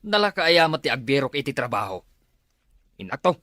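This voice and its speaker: A man's voice: mid-range voice, sing-song, brisk, pushed and bright, dry recording. Man as a shiftless, grumbling father, dry sound. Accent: Ilocano. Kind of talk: radio drama acting.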